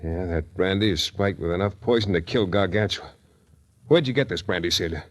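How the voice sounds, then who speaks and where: An actor playing a wry, terse, flirtatious hardboiled private detective in a 1940s radio drama. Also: male voice, sits low, fairly quick, close to an everyday voice. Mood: grim. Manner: wry, terse tough-guy patter. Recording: 1940s radio recording with music.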